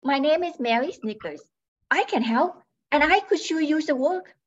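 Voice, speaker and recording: A woman's voice: high-pitched, expressive, moderate pace, dull audio. A woman playing a plucky, polite young expectant mother seeking work in an old-time radio drama, earnest and formal. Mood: eager, earnest. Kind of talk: 1940s radio drama acting.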